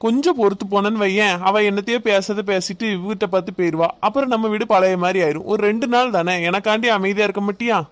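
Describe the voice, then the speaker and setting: A man playing a gentle, coaxing young husband. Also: high-pitched, quick pace, few pauses, lightly acted. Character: patient young husband soothing his wife.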